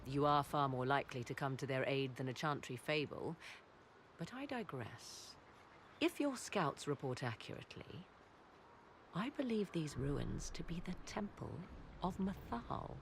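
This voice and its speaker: Aloof, low-voiced sardonic witch. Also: big pitch swings, heavily acted. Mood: sardonic, knowing. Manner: archaic, haughty, measured phrasing. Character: aloof, learned witch advisor, sardonic woman. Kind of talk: fantasy video game dialogue. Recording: game audio with clear ambient noise.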